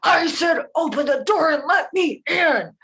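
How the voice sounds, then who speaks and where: A woman voicing an angry, bullying male wolf in a told story, loud and demanding. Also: high voice, lively intonation, a little slow, voice pushed up, full character voice. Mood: menacing.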